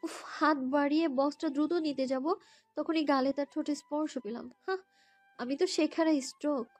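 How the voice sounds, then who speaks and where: A woman narrating a romantic story in the first person, in a girlish, expressive, dramatised way. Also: high-pitched, normal speed, no echo. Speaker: narrator and lead voice actor. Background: background music.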